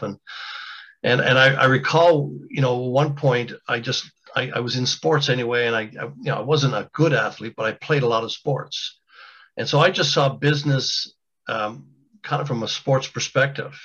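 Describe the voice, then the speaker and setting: Man, fifties, bright forceful tone, rambling with lots of restarts and fillers, on dull lo-fi audio. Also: medium pitch. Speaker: business owner guest. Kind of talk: casual interview storytelling.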